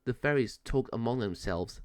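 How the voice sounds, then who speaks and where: Male children's storybook narrator, medium pitch, animated delivery. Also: moderate pace, clear, resonant tone. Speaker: storybook reader.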